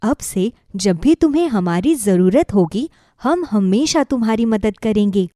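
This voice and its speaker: A woman voicing a benevolent magical cow in an animated fairy tale, calm and reassuring. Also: medium pitch, a little slow, dry recording, studio quality, lightly acted.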